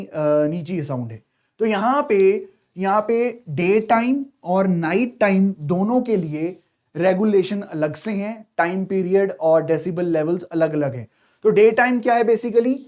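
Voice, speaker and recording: A man's voice: high voice, a little mellow. A man with an Indian accent giving an explanatory lecture as an exam teacher, posing questions and then answering them in a didactic way. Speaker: civil services exam teacher.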